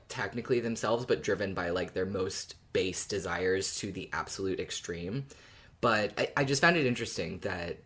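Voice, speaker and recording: A woman with an extremely low-pitched voice co-hosts a fan discussion podcast. Her delivery is informal and conversational, like unscripted chat between friends. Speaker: podcast co-host.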